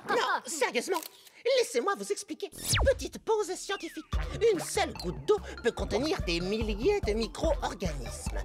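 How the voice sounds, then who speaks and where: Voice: sits high, big pitch swings, measured pace. An actor playing an earnest, anxious pre-teen geek microbe boy in a cartoon dub, fussy and precise. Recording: music behind the voice.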